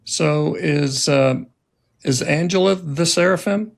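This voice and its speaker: Creaky-voiced man hosting an interview. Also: a little high, slow pace. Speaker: interviewer, host. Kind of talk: conversational cast interview with halting questions.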